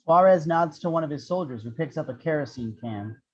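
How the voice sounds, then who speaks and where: Guy reading stage directions, voice a bit high, dull muffled audio. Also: lively intonation, brisk, a little mellow. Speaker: narrator of action descriptions. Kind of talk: scripted narration at amateur table read.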